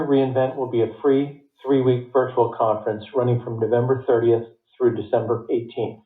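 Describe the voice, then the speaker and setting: A man reading a scripted earnings report as a company finance executive, in a formal, measured way. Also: medium pitch. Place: conference call line. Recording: tinny phone line, roomy echo.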